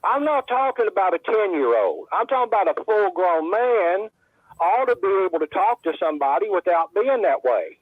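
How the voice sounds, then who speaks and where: Fired up guy calling in, high voice, sounds like an old phone line. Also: big pitch swings, normal speed, no echo. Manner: blunt, heated, repetitive. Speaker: caller. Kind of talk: casual call-in chat.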